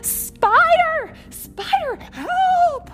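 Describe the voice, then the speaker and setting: A woman's voice: sits high, very animated, takes it slowly, over music, pitched above the performer's own voice, exaggerated voice. A woman voicing a huge, boastful storybook dinosaur who is really a wailing coward. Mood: panicked. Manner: blustering, then wailing in panic.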